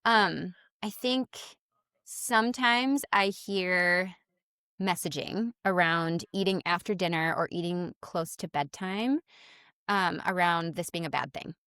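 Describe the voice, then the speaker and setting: A woman's voice: lowish voice, slow pace, pushed and bright, clear, resonant tone, no echo. A woman in her thirties, a dietitian guest on a podcast, talking casually on a close mic with lots of "like" and "um" and easy laughter. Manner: chatty, fillers like "like" and "um", laughs easily.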